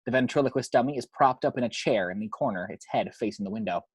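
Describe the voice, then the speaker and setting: A man with a husky voice reading scripted screenplay action descriptions as narrator. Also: mid-range voice, fast, dry recording.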